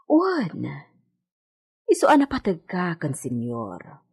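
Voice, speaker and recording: Husky, expressive Filipino village woman. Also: lowish voice, slow, heavily acted. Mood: reassuring. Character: deferential, practical village woman, loyal household worker. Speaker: radio drama actor. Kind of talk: radio drama acting.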